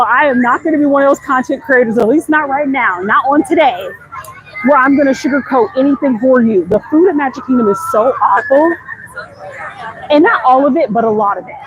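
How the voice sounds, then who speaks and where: High-voiced woman livestreaming over outdoor noise. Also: highs cut off. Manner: candid, conversational, emphatic, addressing viewers directly. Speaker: content creator hosting a live walk-and-talk stream. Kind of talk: casual chatty walking livestream commentary. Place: outdoors, walking through a busy theme park. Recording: outdoor crowd and ride noise. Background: park ambience, passing ride noise.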